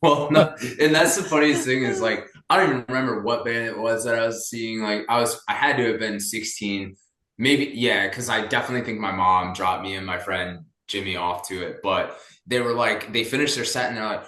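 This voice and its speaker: A man's voice: lowish voice, a little fast, sharp tone. A man in his thirties chatting casually as a guest, laughing often and rambling with fillers. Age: 30s. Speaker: podcast guest. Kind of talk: casual chat. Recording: echoey room.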